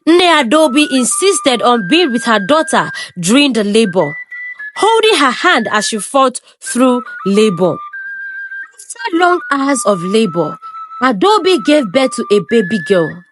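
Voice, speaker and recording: High, lively woman narrating a tale. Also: a little slow. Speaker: storyteller. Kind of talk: scripted narration of a folk tale. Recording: clear music under the voice. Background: background music.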